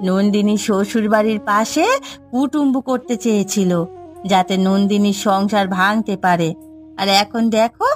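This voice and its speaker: A woman's voice: mid-range voice, close to an everyday voice. A woman playing a middle-aged village wife and mother in a cartoon drama, plaintive and scolding in the way she talks.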